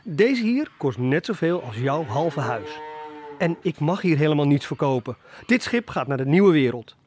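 Scornful slave trader, higher voice. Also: male voice, very animated, moderate pace, darkish tone. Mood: scornful, indignant. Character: gruff, scornful male slave trader aboard ship. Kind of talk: Dutch audio drama acting.

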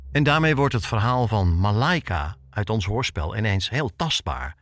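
Low-voiced man narrating a documentary. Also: sing-song, moderate pace, a bit bright. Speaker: reporter and podcast host.

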